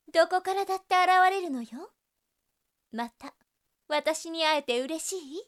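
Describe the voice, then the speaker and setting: A woman playing a brazen, seductive succubus in a voice drama, flirtatious and playfully teasing. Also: high voice. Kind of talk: Japanese situation voice drama acting.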